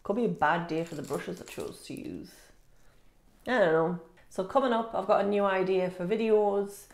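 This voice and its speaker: A woman's voice: medium pitch, normal speed, a little mellow. A woman chatting casually as a beauty vlogger, informal and self-deprecating, sounding sniffly and congested. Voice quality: congested, nasal. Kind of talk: casual chat to camera, makeup review. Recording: echoey room.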